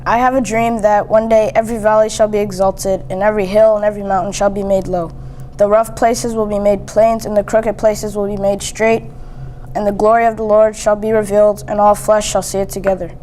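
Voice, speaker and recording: Even-toned young teen reader, light noise. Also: mid-range voice, brisk. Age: young teen, seventh grader. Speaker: student reader. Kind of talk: reading a famous speech aloud. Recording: noisy amateur recording.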